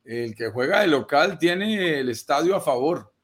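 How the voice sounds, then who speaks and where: A man giving investment advice on a live video call, measured and persuasive, laying out his points as lists. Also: on the high side, expressive. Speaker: real estate investment advisor. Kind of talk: explanatory live-stream talk.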